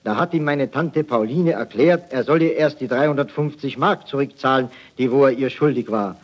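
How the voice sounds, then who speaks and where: A man's voice: medium pitch, moderate pace, highs cut off, lightly acted. An actor playing a hapless, naive army private telling his woes in a folksy way on an archival 1940s satirical radio broadcast. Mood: mock-naive, rueful. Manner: folksy, mock-naive storytelling.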